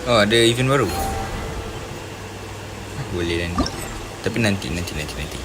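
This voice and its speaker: Malaysian male game streamer, lowish voice, casual slangy muttering, repeats himself, very noisy recording. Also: animated, brisk. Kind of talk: casual live gaming commentary.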